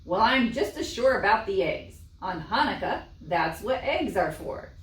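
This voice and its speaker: A woman's voice: medium pitch, very animated, unhurried, a little hiss or hum. Woman playing a self-assured, emphatic storybook rabbi. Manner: emphatic, pronouncing. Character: self-assured village rabbi, supposedly wise man. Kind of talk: children's storybook read-aloud. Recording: echoey room, distant mic.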